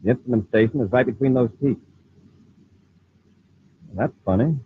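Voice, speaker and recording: A man playing a calm, authoritative space commander who reasons aloud and gives crisp orders, in 1950s radio adventure style. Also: medium pitch, moderate pace, close to an everyday voice. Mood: relaxed, thoughtful. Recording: muffled, tinny old radio recording, noisy.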